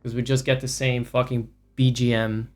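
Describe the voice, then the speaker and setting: A man's voice: mid-range voice, flat delivery, moderate pace, a little mellow, soft background music. A man livestreaming a video game, giving casual commentary in a profane, sarcastic, ranting way. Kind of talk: casual live gameplay commentary.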